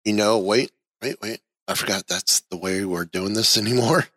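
A man hosting a casual podcast chat about a TV episode, leading the discussion in a relaxed way. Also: on the low side, normal speed, husky, dry recording, clean studio sound. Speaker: podcast host.

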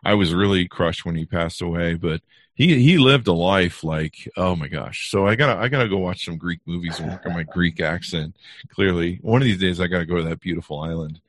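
Deep-voiced chatty male podcast host. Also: fairly quick, no room sound, clean studio sound. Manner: rambling, chatty, many fillers and restarts. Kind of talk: casual interview chat.

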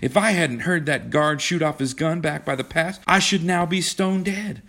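Male narrator as earnest young man, raised pitch, insistent, worried, dramatic storybook dialogue. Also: very animated, brisk, a bit bright, husky, heavily acted. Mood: pleading.

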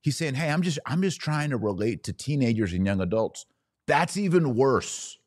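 A man's voice: medium pitch, sing-song, normal speed, darkish tone, no echo, studio quality. A middle-aged American man hosting a podcast, giving opinionated religious commentary in an informal, slangy, mocking and incredulous way.

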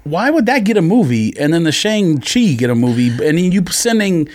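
A man's voice: on the high side, sing-song, moderate pace, darkish tone. An American man co-hosting a podcast in casual conversation, giving opinions in an informal, blunt way. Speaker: podcast co-host.

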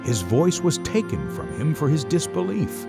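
A man's voice: fairly high pitch, very animated, normal speed, creaky, over loud music. A man narrating a scripted Bible story in a dramatic storytelling style.

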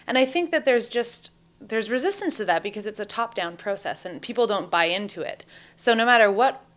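A woman's voice: medium pitch, lively intonation, fairly quick, fairly bright tone. A woman talking casually as a guest in an interview.

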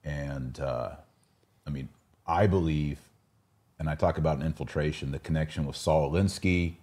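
A man with a notably low voice hosts a podcast discussion. He talks informally and drops in slang, keeping the exchange conversational. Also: normal speed, warm tone. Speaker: podcast host.

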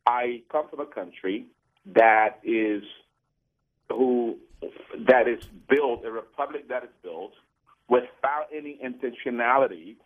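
Cameroon-born male guest, earnest and preachy, phoned in, tinny line. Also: medium pitch. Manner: earnest, preachy, repeats words when starting. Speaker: guest, business program founder. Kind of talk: radio interview talk.

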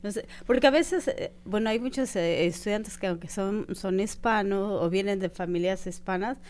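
Encouraging woman interviewer, smooth voice. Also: medium pitch, moderate pace. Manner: warm, encouraging, with many fillers. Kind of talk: friendly interview questions.

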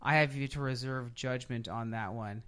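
Male podcast host, mid-pitched, informal and opinionated, dry sound. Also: steady tone, normal speed, bright, forceful tone, clear, resonant tone, no room sound. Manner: informal and opinionated, with occasional swearing. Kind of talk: casual chat.

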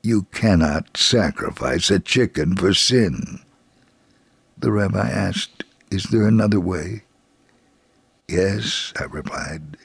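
A man reading a scripted story for radio as narrator, solemn and measured, with a gravelly, husky voice. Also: deep voice, no room sound.

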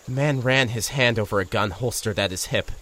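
A man's voice: mid-range voice, fairly quick, bright, forceful tone. Man acting wry, awkward radio sleuth narrator. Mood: wry, storytelling. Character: awkward, wry male radio host turned investigator. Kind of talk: scripted first-person audio drama narration.

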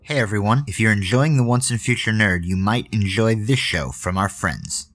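A man's voice: fairly low pitch, normal speed, a bit bright, soft background music. A man hosting a casual podcast interview, speaking politely with somewhat hesitant phrasing.

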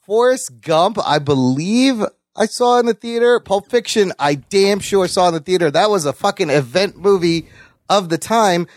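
A man's voice: sits high, expressive, normal speed, no room sound, studio quality. A man in his forties co-hosting a podcast, chatting casually about films with profane banter. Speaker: podcast co-host. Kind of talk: casual film chat.

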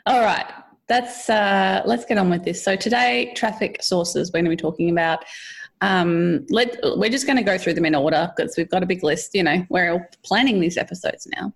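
A woman's voice: fairly low pitch, normal speed, vocal fry, husky. A woman hosting a podcast in casual, chatty conversation, laughing often. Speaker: podcast host.

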